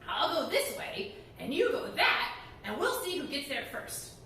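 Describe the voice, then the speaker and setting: A woman's voice: sits high, very animated, unhurried, pushed and bright, heavy reverb, distant mic, a little hiss or hum, full character voice. A woman voicing a sly, hungry male wolf from a fairy tale, teasing and drawn out.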